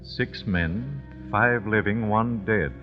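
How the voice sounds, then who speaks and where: An actor playing a grave father who recounts a tragedy in a measured, somber way, in the style of old radio drama. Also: lowish voice. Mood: grim, somber. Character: grave father grimly recounting his son's elevator tragedy. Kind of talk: 1940s radio drama narration. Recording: muffled old radio recording with music. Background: dramatic music.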